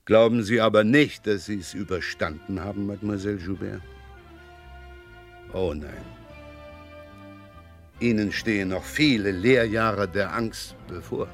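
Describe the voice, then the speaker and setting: An actor playing a stern, sardonic French police inspector in a 1980s German radio crime drama, insistent and dryly sarcastic. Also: male voice, on the low side, unhurried, pauses often, faint music behind. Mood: bitterly sarcastic.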